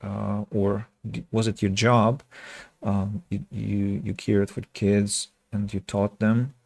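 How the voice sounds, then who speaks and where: A man from Russia with a Russian accent chats casually with viewers as a game streamer, speaking reflectively and pausing mid-sentence. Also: fairly low pitch, flat delivery, creaky, a little mellow, dry recording. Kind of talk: casual livestream chat with viewers.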